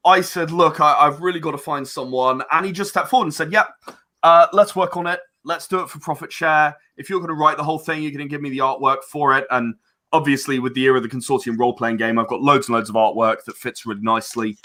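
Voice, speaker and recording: Bright-voiced man, halting and earnest. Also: on the high side, steady tone. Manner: hesitant, halting, repeats words; earnest about creative work. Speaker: interview guest, game and audio drama creator. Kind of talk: casual interview chat.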